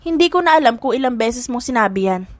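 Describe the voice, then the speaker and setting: A woman's voice: a little high, expressive, quick pace, no echo, close to an everyday voice. A woman doing a cocky boyish young woman. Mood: blunt. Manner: brash, blunt.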